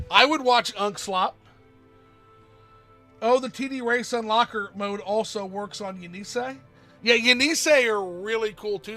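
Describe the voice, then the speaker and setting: A man's voice: sits high, bright, forceful tone. A man hosting a casual game livestream, rambling as he reads out viewer chat and answers it. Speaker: streamer host.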